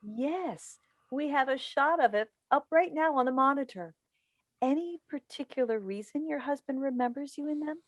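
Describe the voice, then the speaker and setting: A woman acting a smooth, probing television talk show host with pointed questions, in a staged play reading on a video call. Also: sits high, normal speed, lightly acted. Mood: polite, pointed.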